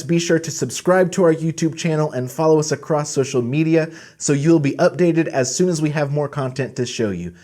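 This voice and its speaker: A man's voice: a little high, darkish tone. A man hosting a product video, walking through gear in a casual presenting style. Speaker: host of a product channel.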